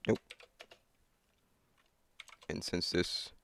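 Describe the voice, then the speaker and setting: A man's voice: very slow, pushed and bright. A young man thinking aloud and griping at himself while setting up a computer game on a cheap desk mic. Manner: muttering, self-critical, thinking aloud. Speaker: gamer. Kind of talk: casual gameplay commentary.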